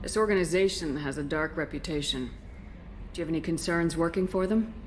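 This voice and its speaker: A woman's voice: low voice, a little fast, slight background noise, natural voice. A woman playing a firm military commander in a video game, direct and controlled. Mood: businesslike. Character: firm, self-assured female military commander.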